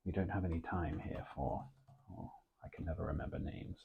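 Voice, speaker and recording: A man acting as a composed British intelligence officer interviewing a recruit in an ASMR role play. His voice is very low and gravelly, with a lot of breath in it. He speaks formally, in a measured hush, and the sound is thin and band-limited. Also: phone-quality sound, noisy background. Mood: measured approval. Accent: British English. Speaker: ASMR performer.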